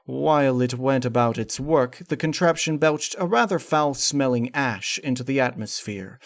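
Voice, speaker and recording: Male narrator for gothic horror fiction: mid-range voice, measured and formal, very dry recording. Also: medium pitch, lively intonation, no echo. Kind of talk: dramatic scripted story reading.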